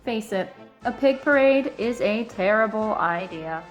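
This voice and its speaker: A woman reading a children's picture book aloud in an expressive, emphatic way, stretching out key words. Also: mid-range voice, a little slow, muffled recording, faint music behind. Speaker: storybook reader.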